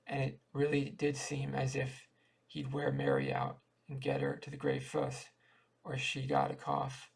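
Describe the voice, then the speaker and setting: Gravelly-voiced man reading a story. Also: medium pitch, low-quality recording. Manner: stumbles over words and repeats himself. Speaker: amateur audiobook reader. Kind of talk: reading a short story aloud, folksy dialect narration.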